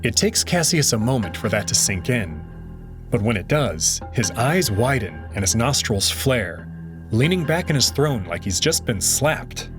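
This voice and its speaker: A man narrating a visual novel aloud in an expressive way. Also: medium pitch, measured pace. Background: background music.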